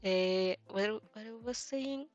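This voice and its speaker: A woman's voice: medium pitch, takes it slowly, darkish tone, dry recording, highs cut off. Leisurely female streamer over background music. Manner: hesitant, searching for words, giggly. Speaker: streamer hosting a fanfic reaction channel. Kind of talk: casual livestream chat. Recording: music playing behind the voice.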